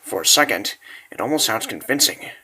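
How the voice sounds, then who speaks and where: A man's voice: fairly low pitch, lively intonation, brisk, bright, forceful tone, a little hiss or hum, voice pushed down. A man voicing a weary, brooding older warrior in a comic dub, muttering with self-doubt.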